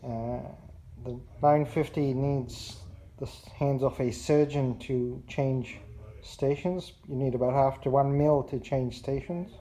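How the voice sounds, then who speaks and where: A person giving a casual hands-on product demonstration in a measured, explanatory way. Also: mid-range voice, fairly even intonation, mellow, poor audio. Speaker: radio reviewer. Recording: clear background noise.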